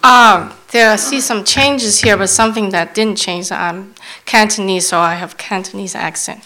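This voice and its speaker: A woman's voice: mid-range voice, sharp tone, rough recording. Lady translating a sermon live, middle voice, stops and restarts a lot. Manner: halting, restarts while searching for words. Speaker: interpreter.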